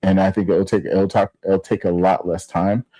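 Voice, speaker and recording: Mellow low-voiced laid-back man. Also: flat delivery, normal speed, no room sound. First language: Spanish. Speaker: podcast co-host. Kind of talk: casual sports chat.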